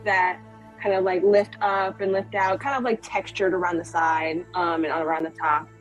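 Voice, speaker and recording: A woman's voice: lowish voice, fairly even intonation, normal speed, dull audio, faint music behind. A woman playing a tabletop roleplaying game, chatty and descriptive, with lots of fillers. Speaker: player. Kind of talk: casual tabletop roleplay chat.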